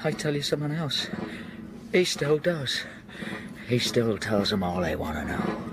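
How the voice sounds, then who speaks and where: An actor playing a tormented, raving deserter soldier in a radio drama, with a manner that veers between whispers and cries. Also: male voice, medium pitch, big pitch swings, unhurried, very noisy. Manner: feverish, swinging from whispers to cries.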